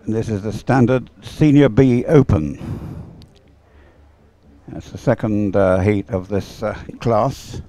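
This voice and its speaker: A man's voice: fairly low pitch, slow, mellow. A man giving live, unscripted sports commentary on a race in an informal, hesitant way, as if thinking aloud.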